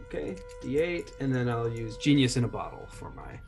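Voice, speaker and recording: A man's voice: mid-range voice, very animated, normal speed, vocal fry, over music. A man chatting casually as a player in a roleplaying game, jokingly describing what his character does.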